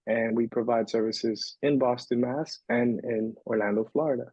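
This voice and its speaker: A man's voice: mid-range voice, steady tone, normal speed, mellow, husky, no room sound, highs cut off. A man from the Dominican Republic, in his thirties, talking as a podcast guest in a loose, rambling way with many fillers and restarts. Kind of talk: casual interview storytelling.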